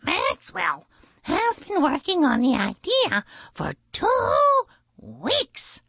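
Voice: sits high, huge pitch swings, very slow, creaky, bright, forceful tone, dry recording, voice pushed up, exaggerated voice. A woman voicing a proud, chirpy blue jay messenger who brags in a children's storybook. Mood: proud, excited. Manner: bragging, chirpy.

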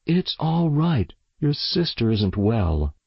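A man acts out a storybook father, firm but gentle. He talks in a measured, soothing way, unhurried and a little husky. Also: mid-range voice, sing-song, no echo, muffled recording, pitched above the performer's own voice, full character voice.

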